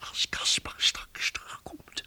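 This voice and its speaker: A man's voice: slow pace, in a whisper. A man playing an eccentric, avuncular inventor professor in a children's comic radio play, muttering and repeating himself. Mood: sly, conspiratorial. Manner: muttering, repetitive, expressive.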